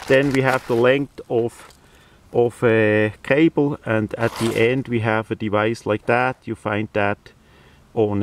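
A non-native English-speaking man giving a casual hands-on demonstration outdoors, halting often mid-phrase. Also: on the low side. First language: not English. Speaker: presenter of a DIY radio antenna video. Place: outdoors in windy weather. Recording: outdoor camera audio with faint noise.

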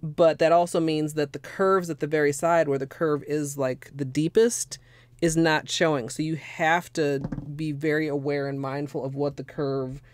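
A hoarse young woman chatting casually through a nail art tutorial at her desk at home, rambling with lots of fillers. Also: deep voice, darkish tone, slight background noise. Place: small home room with space heater.

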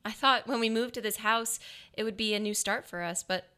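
An actress playing a gentle, wistful wife in a radio noir drama, a little hesitant. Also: female voice, on the high side, fairly quick, clean tone.

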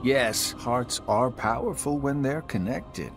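A man's voice: mid-range voice, expressive, slow, over music. A man voicing a sardonic, taunting middle-aged villain in a video game, with a drawling delivery. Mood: mocking, lecturing. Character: sly, mocking one-eyed middle-aged male villain. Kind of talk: English video game cutscene acting.

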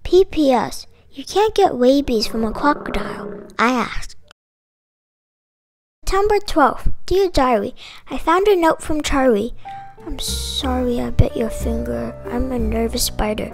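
A woman reading a children's picture book aloud in an expressive, emphatic storytelling manner. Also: high voice, unhurried, creaky, over music. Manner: expressive, emphatic delivery with dramatic exclamations. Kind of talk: animated children's read-aloud.

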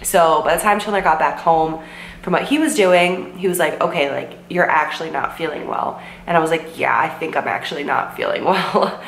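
Sick girl in her 20s rambling to the camera, kinda low voice, sore throat, says like a lot. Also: normal speed. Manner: chatty, lots of "like" and "um", quotes herself. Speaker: vlogger. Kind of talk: casual storytime vlog. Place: bedroom with bookshelves, indoors. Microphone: camera in front at desk distance. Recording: roomy with faint hum.

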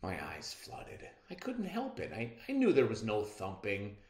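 A middle-aged man reading a storybook aloud in a dramatic, deliberate way. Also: lowish voice, huge pitch swings, a little mellow. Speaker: read-aloud narrator. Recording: roomy echo.

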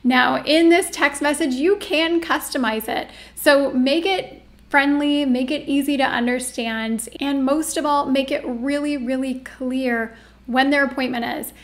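A woman's voice: high-pitched, steady tone, unhurried. A woman in her thirties presenting an upbeat instructional video as a coach, speaking directly and emphatically with an encouraging manner. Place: small indoor room.

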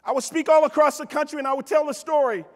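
A man's voice: very high-pitched, steady tone, quick pace. A middle-aged American man giving a motivational keynote, telling stories emphatically, repeating phrases and addressing his listeners directly. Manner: emphatic, with repetition for effect and direct address to the audience. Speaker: keynote speaker. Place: on stage in a large convention hall. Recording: reverberant large hall PA sound.

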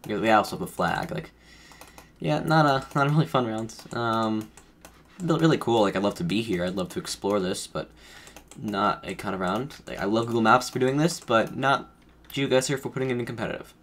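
A man's voice: lowish voice, expressive, a little fast, a bit bright, slight background noise. A man commentating his own game casually, rambling and correcting himself as he thinks aloud, his voice a bit off from feeling under the weather. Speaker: gaming streamer.

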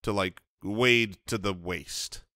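A man's voice: lowish voice, slow, bright, forceful tone, smooth, no room sound. An American man co-hosting a comedy podcast, telling stories casually into a close studio microphone. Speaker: podcast co-host. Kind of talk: casual comedic storytelling and banter. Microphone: close studio microphone on boom arm.

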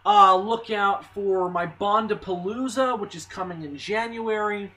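A hoarse young man with a slight cold, talking casually and excitedly about a movie trailer, with lots of ums and restarts. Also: high-pitched, measured pace. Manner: excitable, rambling, swearing, many ums and restarts. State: hoarse, a little sick. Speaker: movie news vlogger. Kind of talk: casual spoken movie commentary.

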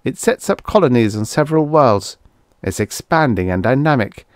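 A man's voice: medium pitch, expressive, moderate pace, no echo, studio quality, lightly acted. A man playing an anxious space explorer in a science fiction audiobook, earnest and insistent as he pleads with urgency.

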